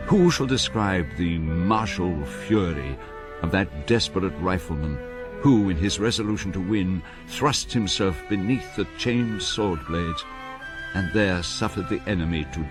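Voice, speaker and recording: A low-voiced, grave chronicler of battle, speaks in measured, impassioned oratory. It is dramatic radio narration with clear music behind the voice. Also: male voice, animated. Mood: solemn, fervent. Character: grave, impassioned male historian chronicling a bloody siege.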